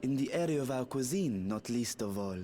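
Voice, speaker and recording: A man voicing a serene dragon ruler in humanlike form for a video game, speaking in a formal, measured and courtly manner. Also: mid-range voice, animated, dark tone, natural voice. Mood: courtly, informative. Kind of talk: scripted video game dialogue. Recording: music behind the voice.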